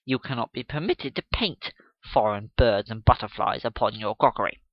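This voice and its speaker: Man playing a loud, pompous gentleman official. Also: medium pitch, normal speed, dry recording, lo-fi sound, natural voice. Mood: stern lecturing. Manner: pompous, emphatic lecturing, barking questions. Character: loud, domineering gentleman official obsessed with fact.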